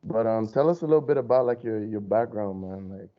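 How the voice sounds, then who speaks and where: A man's voice: fairly low pitch, brisk. A man hosting a casual podcast, asking questions in a laid-back way full of fillers. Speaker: podcast host. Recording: dull lo-fi sound.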